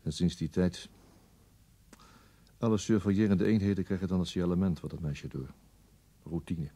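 An actor playing a weary, brooding police detective in a radio play monologue, deliberate and resigned, with a husky, gravelly voice. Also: male voice, deep voice, fairly even intonation, warm tone, no echo. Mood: somber.

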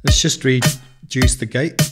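Man walking through a music software tutorial. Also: medium pitch, huge pitch swings, slow. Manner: explanatory, informal, step-by-step. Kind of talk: software tutorial walkthrough, explaining settings. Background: music and processed audio demos.

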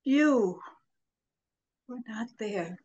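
A woman in her sixties giving a measured, dramatic poetry reading over a video call from a room at home. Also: fairly high pitch, animated, darkish tone, slightly rough, highs cut off. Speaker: poet. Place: small home room with bookshelves. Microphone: computer mic on a video call.

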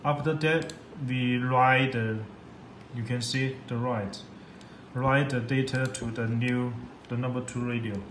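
A man giving an unscripted tutorial in halting, repetitive, simple English. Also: medium pitch, fairly even intonation, a bit bright. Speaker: product demonstrator. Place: indoor room at a computer desk. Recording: echoey room with background noise.

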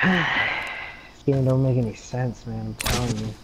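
A young man banters casually during an online game. His mid-range voice is slangy and joking. Game noise and clicking are clearly heard behind him. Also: mid-range voice, fairly even intonation, measured pace. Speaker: gamer playing an online shooter.

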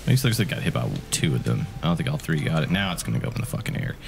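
A man in his thirties giving casual, joking, excitable commentary on a video game, with plenty of swearing. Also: extremely low pitch, racing, gravelly, noisy background. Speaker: gaming co-host, commentator.

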